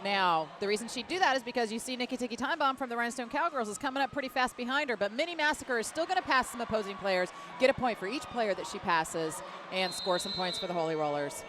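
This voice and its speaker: A woman with an American accent giving live roller derby play-by-play, excited, emphatic and chatty. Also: on the high side, quick pace, few pauses. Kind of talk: live play-by-play commentary with casual banter. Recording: noisy venue sound behind commentary. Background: loud venue noise.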